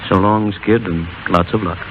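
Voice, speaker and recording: An actor playing a stern, fed-up boss with a blunt, lecturing manner, in 1930s radio style. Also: male voice, low voice, steady tone, normal speed, close to an everyday voice. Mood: resigned. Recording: muffled, noisy 1930s radio broadcast.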